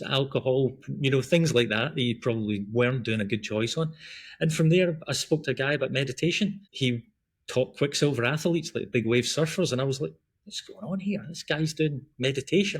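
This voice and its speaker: Scottish man with a husky voice. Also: mid-range voice. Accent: Scottish, Glasgow. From Scotland. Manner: rambling, chatty, frequent restarts and ums. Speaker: guest, breath work and running coach. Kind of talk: casual podcast conversation.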